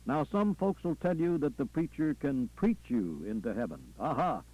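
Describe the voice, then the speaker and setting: A man's voice: on the high side, very animated, normal speed, a little mellow, dull audio, voice pushed up. A man performing a fervent preacher delivering a rhythmic, folksy call-and-response funeral sermon full of repetition. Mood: challenging, insistent. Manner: folksy, rhythmic repetition with punctuating "aha" and "ah, well".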